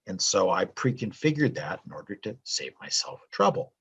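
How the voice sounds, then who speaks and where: An older man explains software from his home office in a relaxed, chatty way, full of ums and uhs. His voice is low and husky. His pitch moves up and down freely as he talks. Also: moderate pace, highs cut off. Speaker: translator demonstrating software. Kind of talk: casual screen-recorded tutorial.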